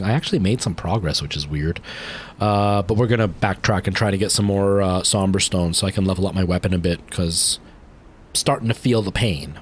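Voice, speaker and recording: A man's voice: fairly low pitch, a little hiss or hum. Chatty young man streamer, rambling. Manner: chatty, rambling, many fillers and restarts. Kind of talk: casual chat ending a game stream.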